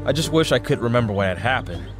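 A man's voice: medium pitch, expressive, fast, close to an everyday voice. Man acting an earnest, troubled young superhero over music. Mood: puzzled. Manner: hesitant, pleading. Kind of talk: audio drama acting. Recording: music behind the voice.

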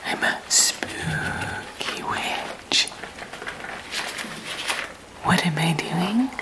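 A woman's voice: fairly low pitch, animated, extremely slow. A woman playing a prim, unhinged alien housewife in an ASMR roleplay, whispering sugary, smug small talk into a close mic. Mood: sweet whisper. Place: pink kitchen set, indoors.

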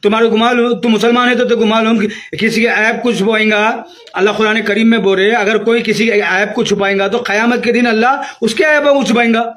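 A man's voice: high voice, fairly even intonation, fairly quick, fairly bright tone. A man in his fifties with a Hyderabadi accent, scolding in an agitated, repetitive angry rant to camera. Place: small room indoors.

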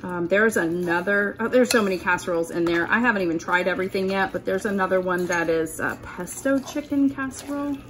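A woman's voice: mid-range voice, a little fast, hardly any pauses, background noise. A woman talking informally and thinking aloud as she hosts a casual meal-prep demonstration at home. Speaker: host of a keto cooking channel. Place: indoors at home, kitchen work surface.

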